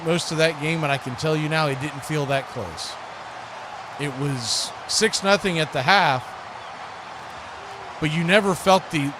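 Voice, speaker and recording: An American man giving live, unscripted football commentary as a stream host, in a folksy, conversational way. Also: a little high. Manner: conversational, folksy, rises to excited shouts on big plays. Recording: clearly noisy live stream background.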